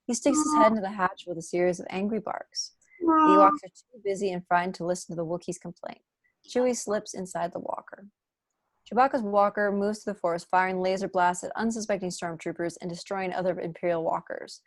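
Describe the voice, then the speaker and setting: A woman's voice: medium pitch, brisk. A young adult woman reading scripted stage directions as narrator at an online table read. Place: room at home, video call.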